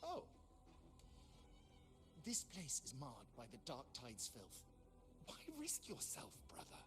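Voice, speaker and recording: A man's voice: medium pitch, huge pitch swings, slow, music in the background. A man voicing a taunting, fanatical villain in a video game, theatrical and mocking, drawing out his words. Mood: mock hurt.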